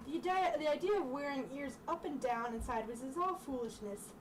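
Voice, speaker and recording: A woman voicing a slow-witted, honest mother pig from a storybook, giving earnest, halting advice. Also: sits high, natural voice. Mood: earnest advising. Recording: distant mic, clearly noisy room sound.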